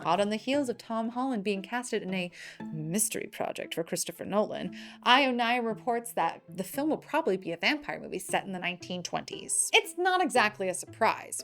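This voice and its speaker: A woman's voice: fairly high pitch, fairly bright tone. A woman hosting a fantasy movie news show, reading scripted items in a chatty, playful fan style with casual opinions. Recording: music bed behind the voice. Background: background music.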